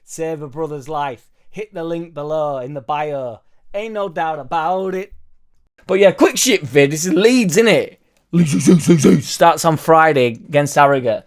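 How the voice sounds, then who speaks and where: A young man, hoarse from losing his voice, ranting casually about football with loud bursts and swearing. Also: fairly high pitch, a little slow. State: hoarse, partly lost voice. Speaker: football fan vlogger. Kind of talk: casual rambling fan vlog.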